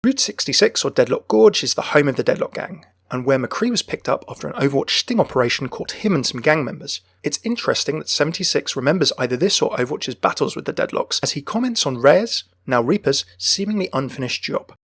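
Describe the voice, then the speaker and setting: A man's voice: fairly high pitch, animated, a little fast, hardly any pauses, a bit bright, husky, no room sound. A young man hosting a gaming channel, delivering scripted lore commentary in an enthusiastic manner. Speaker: video game channel host. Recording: dry close voice over music. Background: background music.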